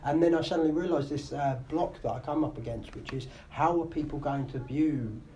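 A man's voice: on the high side, a little fast, warm tone, lo-fi sound. A man who is a comedian and radio host, answering interview questions in casual, thoughtful talk with many fillers. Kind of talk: podcast interview. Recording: live room with faint noise.

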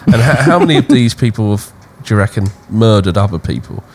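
Deep-voiced man, laid-back. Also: animated, fast talker, darkish tone. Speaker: podcast co-host. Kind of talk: casual chat. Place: Thailand.

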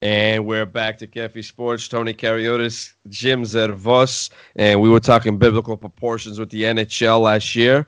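Male sports talk host, low-pitched and dry-sounding, blunt, casual delivery. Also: little pitch movement, moderate pace, few pauses, smooth, dry recording.

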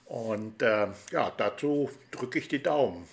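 A man's voice: medium pitch, expressive, slow, vocal fry. A man hosting an interview, asking rambling, friendly questions full of fillers. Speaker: interview host. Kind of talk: chatty radio-style interview.

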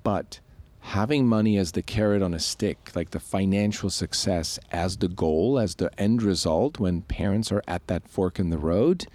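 A man's voice: low voice, normal speed, few pauses, a little mellow. A man from Switzerland co-hosting a podcast, explaining ideas in a loose, reflective way with many restarts. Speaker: podcast co-host. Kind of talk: casual podcast conversation.

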